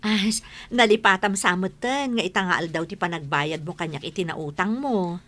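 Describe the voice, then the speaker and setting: A woman playing a money-minded, nagging village wife in an Ilocano radio comedy drama, with an animated, scolding manner. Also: on the low side, normal speed. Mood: irritated.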